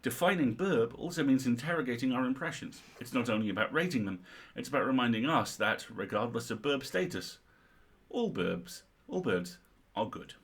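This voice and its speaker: A middle-aged man with a slightly husky, mid-pitched voice reads comic material aloud on a livestream. He is wry and playful, dropping in casual, sweary asides as he goes. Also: lively intonation, normal speed, fairly bright tone. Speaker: livestream co-host reading aloud. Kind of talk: reading a humorous article aloud with casual asides.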